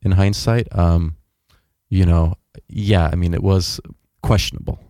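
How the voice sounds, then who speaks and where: A young man with a low, mellow voice tells his story in a relaxed, wandering way, full of fillers and restarts. The sound is dry and close, with no room echo. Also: unhurried. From United States. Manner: rambling, many fillers and restarts. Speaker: guest. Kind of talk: casual interview storytelling.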